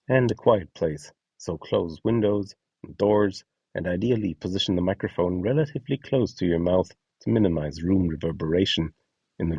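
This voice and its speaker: A man giving a scripted explainer narration as a host, instructing clearly step by step. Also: sits low, mellow, no echo, recorded from a distance, muffled recording. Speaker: host and instructor of a voice donation project.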